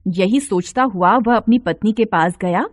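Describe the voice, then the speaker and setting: A woman storyteller reading a scripted tale in an expressive narrating style. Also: medium pitch, moderate pace, dry recording, clean studio sound. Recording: faint noise with cricket sounds.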